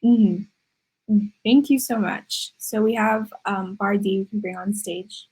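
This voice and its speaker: A woman's voice: medium pitch, fairly even intonation, takes it slowly, darkish tone, breathy edge, rough recording. A woman moderating an online youth panel, polite and encouraging as she brings people on. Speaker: session moderator.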